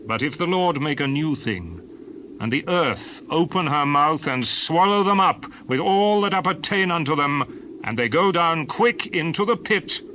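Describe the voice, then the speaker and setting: A man's voice: a little high, lively intonation, a little slow, bright, forceful tone, a little hiss or hum, low-quality recording. An actor playing an earnest, urgent prophet leading his people, with declamatory, emphatic delivery in a dramatized scripture reading. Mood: solemn warning.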